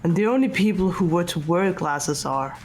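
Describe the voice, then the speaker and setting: A woman in a fan-voiced game playthrough, playing a composed, analytical student who reasons in a measured, matter-of-fact way. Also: on the low side, animated, darkish tone, natural voice. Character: composed, analytical student reasoning coolly through a murder case. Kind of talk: scripted game dialogue read aloud by fans. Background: background music.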